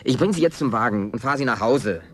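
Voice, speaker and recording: An actor playing a stubborn, naive small-town man in radio drama dialogue, blunt and gruffly reproachful. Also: medium pitch, animated, fast talker, faint music behind, natural voice. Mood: exasperated. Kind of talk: naturalistic German radio play dialogue.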